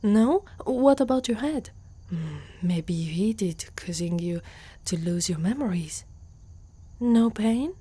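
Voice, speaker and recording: Woman voicing a slow, breathy, proud centaur girl, Italian accent. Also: mid-range voice, animated, dark tone. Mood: concerned, protective. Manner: haughty, slow, often dropping into whispers. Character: proud, guarded young female centaur warrior, gruffly protective. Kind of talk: ASMR roleplay.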